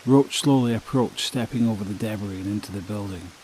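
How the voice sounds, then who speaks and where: A man's voice: fairly low pitch, brisk, darkish tone, slight background noise, rough recording. A man reading scripted story narration for an audio drama.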